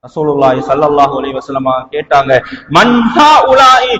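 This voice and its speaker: A man's voice: a little high, big pitch swings, measured pace. A man with a Sri Lankan Tamil accent preaching an impassioned Islamic sermon, using rhetorical questions and emphatic repetition. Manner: rhetorical questions, emphatic repetition, swinging between hushed and raised delivery. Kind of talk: impassioned mosque sermon in Tamil with Arabic quotations. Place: large echoing mosque hall. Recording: long hall reverb, noticeable background noise.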